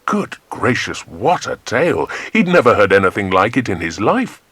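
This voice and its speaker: A man narrating a scripted children's adventure story in an expressive, dramatic way. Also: lowish voice, moderate pace, slightly rough, dry recording.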